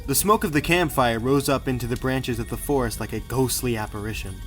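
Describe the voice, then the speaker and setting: A man's voice: mid-range voice, a little fast, fairly bright tone, soft background music. A man narrating an audiobook in a scripted, dramatic storytelling style.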